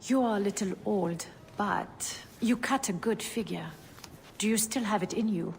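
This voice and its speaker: A woman's voice: mid-range voice, moderate pace, creaky, close to an everyday voice. Poised, teasing woman in a reverberant space acted. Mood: cool, teasing. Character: poised, shrewd, teasing lady of means. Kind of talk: video game dramatic acting. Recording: reverberant, faint ambient noise.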